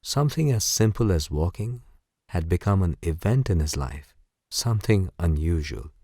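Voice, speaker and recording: A man narrating a bedtime story as guided sleep meditation in a soothing, gentle, unhurried way. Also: low-pitched, lively intonation, no echo, studio quality.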